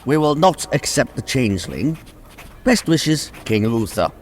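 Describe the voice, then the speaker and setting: A man's voice: mid-range voice, sing-song, unhurried, slight background noise. A man playing an imperious, petulant king in a comic radio play, pompous and indignant. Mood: pompous dictation. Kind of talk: radio comedy drama acting. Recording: long reverberant hall.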